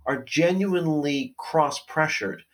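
A man hosting a conversation, laying out his arguments in an articulate way and often letting his voice trail off quietly. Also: a little high, takes it slowly. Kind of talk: casual conversation.

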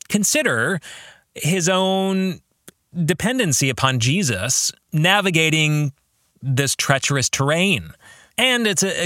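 A man with a bright, slightly high voice talks slowly in an earnest, reflective way, like a pastor posing questions. He hosts a podcast about his own book and speaks directly to the listener. The sound is close and dry. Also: no room sound. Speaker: podcast host and author discussing his book.

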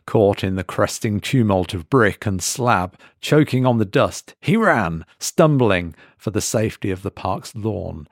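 A man reading a scripted horror story as a dramatic narrator, measured and deliberate with pauses for effect. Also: on the low side, lively intonation, no room sound, clean studio sound.

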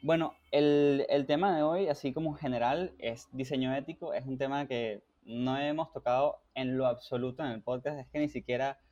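A man from Venezuela with a Venezuelan accent, hosting a casual podcast interview with a relaxed, laughing manner. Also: medium pitch, normal speed, few pauses, muffled recording. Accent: Venezuelan Spanish. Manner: relaxed, laughs often, many fillers. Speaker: podcast host.

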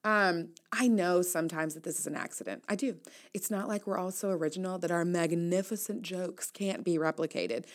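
A woman hosting an audio newsletter, reading her casual commentary aloud in a wry, playful, conversational way. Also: mid-range voice.

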